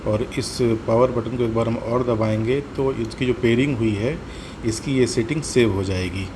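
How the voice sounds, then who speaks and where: An Indian man hosts a practical gear tutorial in a medium-pitched voice. He explains each step in turn and goes back over the key points. Clear ambient noise runs steadily behind his speech. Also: normal speed. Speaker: host of a motorcycle gear tutorial. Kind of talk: hands-on product demonstration.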